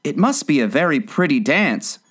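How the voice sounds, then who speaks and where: A man's voice: on the high side, big pitch swings, normal speed, fairly bright tone, recorded from a distance. A man voicing a polite, timid, curious young girl from a storybook, with a hesitant manner.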